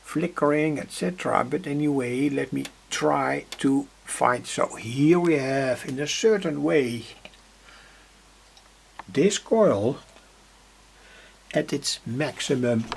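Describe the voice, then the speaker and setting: Male hobbyist demonstrator, creaky low-key delivery, slow and halting, explaining a bench setup off the cuff. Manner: halting, searching for words, explanatory. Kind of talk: unscripted workbench demonstration vlog. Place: indoor hobby workbench.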